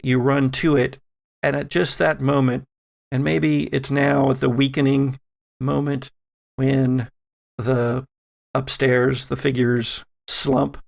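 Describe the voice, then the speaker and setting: A man working as game master, narrating a tabletop horror game in a measured way with dramatic pauses. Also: mid-range voice, flat delivery, creaky.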